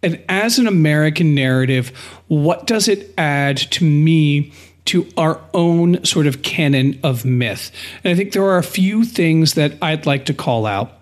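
A man co-hosting a podcast, discussing analytically in a deliberate, slightly formal conversational way. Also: a little high, expressive. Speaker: podcast co-host.

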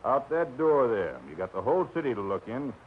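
An actor playing a tough middle-aged nightclub bouncer who talks gruff and slangy, in a 1940s radio drama. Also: male voice, medium pitch, very animated, a little fast, over a noisy crowd, natural voice. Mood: menacing. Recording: muffled old radio recording with hiss.

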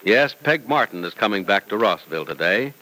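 A man's voice: fairly low pitch, big pitch swings, brisk, pushed and bright, rough recording. A man announcing and narrating in a polished, emphatic, scripted vintage radio style.

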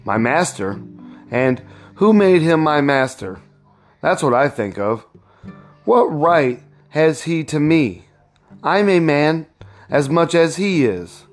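Man voicing indignant young husband, storybook drama, raised pitch, insistent, bitter, proud, slow, light music behind. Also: big pitch swings. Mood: indignant, defiant. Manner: emphatic, aggrieved, insistent. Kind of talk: storybook read-aloud.

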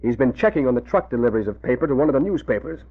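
A man playing a smug, calculating racketeer who speaks in an unhurried way, in 1940s radio acting. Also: medium pitch, expressive, breathy edge. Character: scheming male racketeer, smug and cold. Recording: tinny old radio broadcast.

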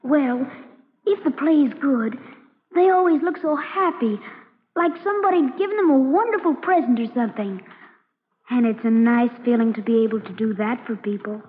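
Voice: medium pitch, moderate pace, slight background noise, natural voice. A young performer playing a shy, sincere boy actor in an old-time radio drama. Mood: earnest, shy. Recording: tinny old radio, reverberant, faint music.

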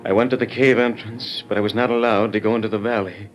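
Low-voiced, grave army major played by an actor. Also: male voice, fairly even intonation, fast talker, faint music behind, lightly acted. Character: earnest army major undercover as a Western gunfighter. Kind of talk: old-time radio drama acting, narrated report. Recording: noisy vintage radio recording.

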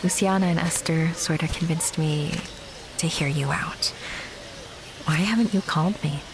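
A woman's voice: low voice, normal speed, background noise. An actress playing a candid, guarded young woman in a naturalistic audio drama, talking in a casual, direct way. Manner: frank, casual, conversational.

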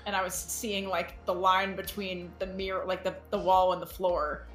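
A woman's voice: lowish voice, level tone, moderate pace, a bit bright, faint music behind. Woman chatting casually in a roomy echo. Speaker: podcast guest. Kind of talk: casual chat.

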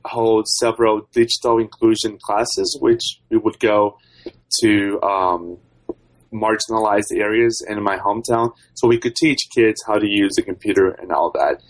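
A man's voice: fairly low pitch, steady tone, unhurried, low-quality recording. A young man from Brazil, giving conversational answers as an interview guest and researcher. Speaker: podcast guest, doctoral researcher.

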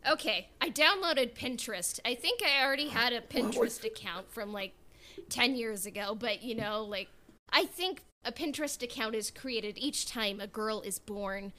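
A woman's voice: a little high, normal speed, sharp tone, slight background noise. A woman co-hosting a podcast in casual, playful chat. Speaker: podcast co-host.

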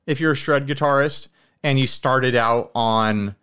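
A man chatting casually as a podcast co-host, telling stories in an animated way and slipping into mock quotes. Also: medium pitch, measured pace, clean tone. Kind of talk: casual chat about hobby gear.

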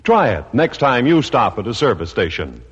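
A man's voice: medium pitch, big pitch swings, moderate pace, husky. A man announcing from a script on 1950s radio, with polished, formal phrasing. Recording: dull, echoey old radio recording.

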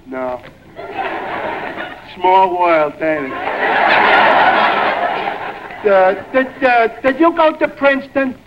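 An actor in a 1940s radio comedy playing a dim-witted, friendly man who talks haltingly with poor grammar. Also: high-pitched, sing-song, crowd noise, heavily acted. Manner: halting, ungrammatical, hesitant. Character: dim-witted, friendly, slow-thinking barfly pal. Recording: dull, noisy vintage radio recording.

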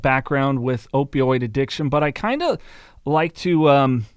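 A man's voice: mid-range voice, expressive, measured pace, smooth, no room sound. A man hosting a casual interview from a soundproofed studio, chatty and rambling as he plugs sponsors and asks questions. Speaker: podcast host.

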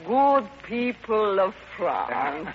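Through a muffled, noisy old radio broadcast comes an earnest Soviet woman envoy. She speaks slowly and solemnly, deadpan yet romantic, in vintage radio-play style. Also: mid-range voice. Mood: tipsy, romantic.

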